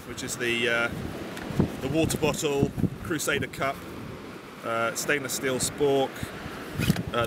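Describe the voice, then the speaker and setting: A man in his forties, from England, chatting casually outdoors on a beach, relaxed with lots of ums and uhs. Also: mid-range voice. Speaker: bushcraft vlogger. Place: outdoors on a sunny beach, windy. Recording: loud wind and sea noise.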